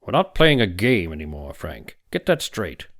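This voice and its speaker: A man's voice: very animated, moderate pace, fairly bright tone, heavily acted. A man voicing a huge, domineering older doctor in an audiobook, heavy-voiced, emphatic and insistent. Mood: stern, forceful. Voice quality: heavy, booming. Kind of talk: audiobook character dialogue.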